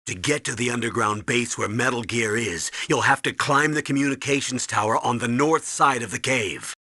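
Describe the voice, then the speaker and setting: A man playing a gruff, urgent military commanding officer briefing his agent in a video game, with a commanding, instructive delivery. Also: medium pitch, normal speed, sharp tone, dry recording, studio quality. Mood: commanding, steady.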